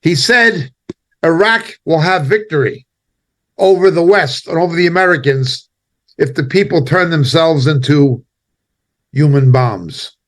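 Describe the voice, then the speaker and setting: A man giving impassioned spoken commentary as a preacher, indignant and emphatic, speaking in short halting phrases. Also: a little high, a bit bright.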